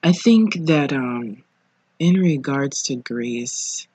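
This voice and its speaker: A podcast host speaking casually alone, in an informal, reflective way with pauses between phrases. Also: medium pitch, animated, darkish tone, slightly rough, no echo. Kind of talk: casual solo podcast monologue. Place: living room at home.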